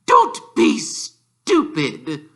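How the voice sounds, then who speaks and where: A man voicing a sharp, impatient middle-aged aunt in a storybook reading, curt and snappish. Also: high-pitched, huge pitch swings, extremely slow, gravelly, voice pushed up, exaggerated voice.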